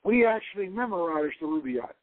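A man acts out an intellectual, romantic young woman recounting her life. The voice sits high and speaks in a measured, reflective way, as in an audio novel. It comes dry through a tinny, narrow phone-like line. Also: animated, dry recording. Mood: reflective, wistful. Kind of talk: dramatic reading of an adventure novel.

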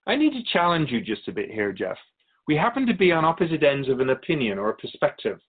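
Man playing an animated, wry soul keeper. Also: fairly high pitch, phone-quality sound. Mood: curious, challenging. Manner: measured, philosophical, gently challenging. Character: Keeper of Souls, wise otherworldly storyteller, courteous and wry. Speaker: interviewee in a radio drama. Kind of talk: radio drama dialogue, storytelling.

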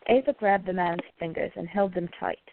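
A woman's voice: on the low side, normal speed, vocal fry, phone-quality sound, a little hiss or hum. A woman narrating a radio play from a script.

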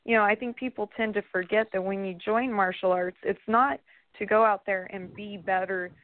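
A hoarse woman calls in to a talk radio show and speaks earnestly and with passion. The line is narrow and phone-like, dry with no room sound. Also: mid-range voice, fairly even intonation, moderate pace, dry recording. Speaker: caller. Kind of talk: call-in radio chat.

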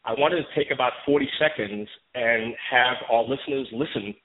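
A man's voice: medium pitch, sing-song, moderate pace, gravelly. A man hosting a talk show and interviewing a guest, heard over a tinny, phone-like line. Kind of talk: conversational interviewing with scripted show introduction.

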